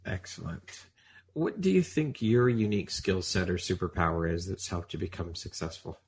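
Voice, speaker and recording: A woman hosts a podcast interview with an unusually deep voice for a woman, encouraging her guest with short affirmations. Faint noise hums behind her. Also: animated, normal speed, darkish tone. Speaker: podcast host. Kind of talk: interview questions.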